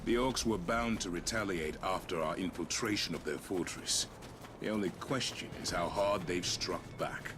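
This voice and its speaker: An actor playing a grave, resolute soldier captain in a video game, speaking in a measured, serious way. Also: male voice, sits low, background noise, close to an everyday voice. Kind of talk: video game cutscene acting. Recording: loud music over the voice.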